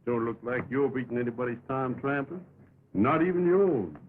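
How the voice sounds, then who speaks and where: A man playing a steady, laconic cowboy foreman in an early talkie Western, with an American accent and a terse drawl. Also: mid-range voice, brisk, lightly acted. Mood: cool menace. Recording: tinny, noisy 1929 film soundtrack.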